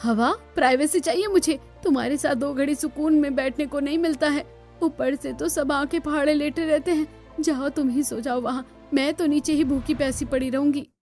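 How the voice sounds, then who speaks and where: A woman's voice: high-pitched, normal speed, darkish tone, faint music behind. A woman voicing a spirited, outspoken young village daughter-in-law in a cartoon. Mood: indignant, sulky. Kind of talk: Hindi cartoon dialogue acting.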